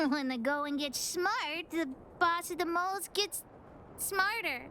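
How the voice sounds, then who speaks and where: A woman's voice: very high-pitched, slow pace, slight background noise, full character voice. A woman voicing a bold, bossy little girl gang boss in a video game, with music behind her. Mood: cocky. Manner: bold, demanding. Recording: game audio under loud music.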